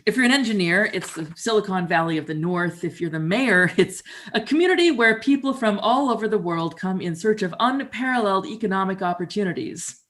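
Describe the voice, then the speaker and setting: A middle-aged woman from Canada, performing a theatrical storytelling monologue in a wry, conversational, expressive way. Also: medium pitch, brisk.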